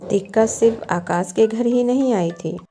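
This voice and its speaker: A woman's voice: a little high, normal speed, mellow. A woman reading scripted storybook narration for a cartoon moral tale. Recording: music behind the voice. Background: background music.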